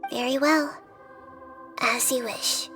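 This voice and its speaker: High-voiced, calm, wise young goddess. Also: female voice, over music. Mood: calm, guiding. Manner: measured, philosophical, deliberate. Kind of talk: video game voice acting.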